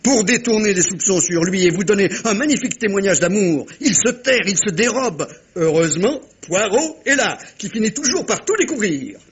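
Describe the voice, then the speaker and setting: An actor playing a shrewd, self-assured middle-aged detective in a French radio mystery, commanding and theatrical, speaking with deliberate dramatic pauses. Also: male voice, sits high, expressive, husky, heavily acted. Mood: triumphant. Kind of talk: 1950s-style French radio mystery acting.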